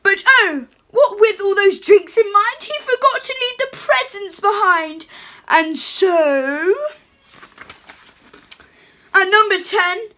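A young woman playing a jolly, chatty Father Christmas reading a storybook aloud at home, her voice pushed lower in a playful put-on. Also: slow pace, long pauses, bright, forceful tone, full character voice. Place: home room with curtains.

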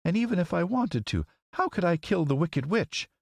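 A man's voice: a little high, sing-song, a little fast, creaky, no echo, clean studio sound, voice pushed up, full character voice. A man voicing an earnest, helpless little girl in a storybook, plaintive and pleading. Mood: tearful, protesting. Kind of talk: storybook character voice.

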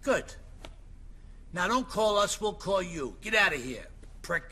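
High-pitched, volatile old mafia don. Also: male voice, very animated, a little slow, pushed and bright, slightly rough, exaggerated voice. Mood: curt, dismissive. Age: older. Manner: effusive, streetwise, swinging from gushing to menace. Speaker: mafia boss. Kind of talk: video game cutscene acting.

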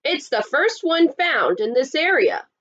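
A woman's voice: sits high, big pitch swings, unhurried, a bit bright, muffled recording, voice pushed up, exaggerated voice. A woman voicing a friendly male bear professor who explains dinosaurs with enthusiasm in a storybook. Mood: proudly explaining. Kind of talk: storybook character voice.

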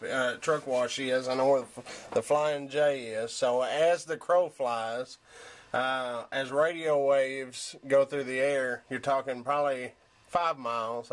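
A man giving a casual, off-the-cuff demonstration in a folksy, laid-back way with hesitant phrasing. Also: mid-range voice. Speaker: hobbyist demonstrating a radio set-up. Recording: home camera with background noise.

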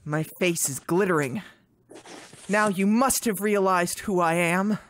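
A woman's voice: fairly low pitch, unhurried, a little hiss or hum, close to an everyday voice. A woman voicing an exasperated, melodramatic male duck vampire in a live comic dub parody. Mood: brooding confession. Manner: theatrical, exasperated.

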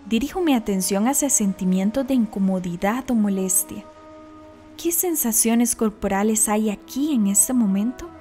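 A woman narrating a scripted guided meditation in a calm, deliberate, instructional manner. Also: on the high side.

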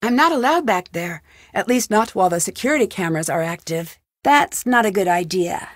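A woman's voice: medium pitch, animated, a little fast, no room sound, clean studio sound, close to an everyday voice. An actress playing a curious, upbeat young woman detective in an adventure game, thinking aloud in short scripted remarks. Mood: curious, focused.

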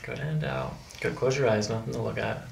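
A man's voice: lowish voice, big pitch swings, fairly quick, gravelly, a little hiss or hum. A middle-aged man working as a chiropractor, coaching a patient through treatment in short, reassuring ASMR-style instructions. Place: small carpeted treatment office, indoors. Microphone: microphone on boom stand nearby.

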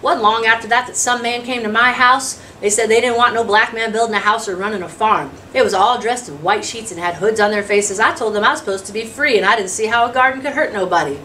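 A woman's voice: on the high side, fast talker, hardly any pauses, pushed and bright, pitched above the performer's own voice. Woman voicing a folksy drifter, distant and noisy. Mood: matter-of-fact, recounting. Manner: folksy rural dialect, plainspoken storytelling. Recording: distant mic, noisy room sound.

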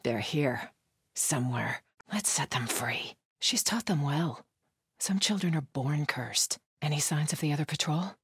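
An actress playing a stern, devout cult soldier in a video game, solemn, guarded and terse. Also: female voice, sits low, expressive, unhurried, slightly rough, dry recording, clean studio sound, full character voice. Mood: solemn, watchful. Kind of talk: video game enemy dialogue.

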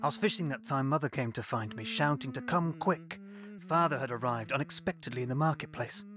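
A man's voice: mid-range voice, a little fast, natural voice. An English actor playing a man recalling his father and his childhood, in a reflective radio play monologue. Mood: wistful.

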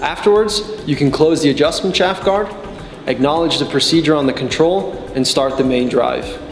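A man in his twenties narrates a training guide in a measured, methodical way. His voice sits a little on the high side for a man. The sound is clearly echoey, with music playing behind him. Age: 20s. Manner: measured, step-by-step explaining. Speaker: technical support and customer trainer. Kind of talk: scripted instructional narration. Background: background music.

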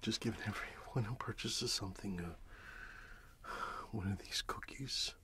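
A middle-aged man plays a friendly candy shop keeper in an ASMR roleplay. His voice sits on the low side and often slips into a whisper. He takes his time, chatting softly to a customer right up close to the mic. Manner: soft-spoken, half-whispered, unhurried, friendly shopkeeper patter. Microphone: close mic, facing the camera at close range.

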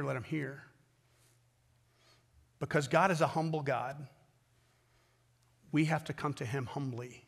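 An American man in his fifties preaching a sermon as a pastor, earnest and emphatic, with deliberate pauses and repetition. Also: on the high side, fairly bright tone, husky, long reverb tail. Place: large indoor church auditorium stage at a wooden pulpit.

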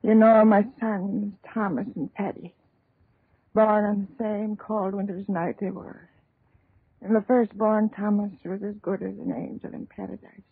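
An actress playing a dying, guilt-ridden old widow with an Irish accent, halting and mournful, in an old radio drama. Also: female voice, mid-range voice, level tone, creaky, breathy edge, dry recording. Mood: mournful confiding. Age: older. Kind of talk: 1930s radio drama acting. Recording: muffled, narrow old radio recording.

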